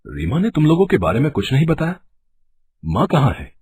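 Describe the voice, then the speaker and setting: A man's voice: fairly high pitch, wildly swooping pitch, brisk, darkish tone, breathy edge, heavily acted. A man playing an earnest, concerned adult son in acted Hindi family story dialogue. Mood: surprised.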